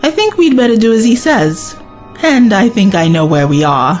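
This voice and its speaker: A woman's voice: on the high side, lively intonation, normal speed, music in the background, lightly acted. A woman playing a defensive, anxious young lady companion in an audio drama. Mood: defensive, uneasy.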